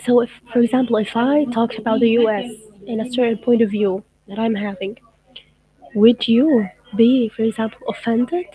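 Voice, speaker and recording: A woman's voice: a little high, fairly even intonation, mellow, breathy edge, rough recording. A young woman from Gaza whose first language is Arabic, chatting casually on a livestream and reading follower comments aloud. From Gaza, Palestine. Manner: thoughtful, unhurried, reads comments aloud. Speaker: livestream host answering followers.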